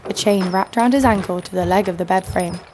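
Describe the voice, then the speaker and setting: A woman's voice: medium pitch, brisk, lightly acted. An actress playing a tough young woman fighter, narrating her own story in an audio drama with dry defiance. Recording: clear background noise and ambience.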